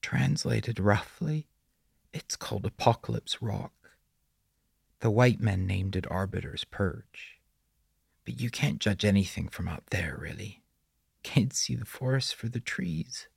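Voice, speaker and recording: A man voicing a creepy, sinister young boy of the woods in a breathy, coaxing whisper for an audiobook horror story. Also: fairly low pitch, lively intonation, unhurried, no room sound, clean studio sound, heavily acted. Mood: eerie, knowing.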